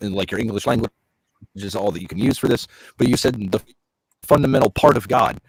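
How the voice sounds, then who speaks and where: Gravelly, low-voiced man explaining calmly. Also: fairly quick, no echo, low-quality recording. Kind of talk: call-in debate.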